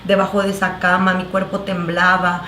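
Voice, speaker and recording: A woman pastor from El Salvador with a Salvadoran Spanish accent, giving her testimony on a talk show in an earnest, halting way with many fillers and restarts. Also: fairly low pitch, monotone, bright, forceful tone, reverberant, slight background noise. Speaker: pastor, guest.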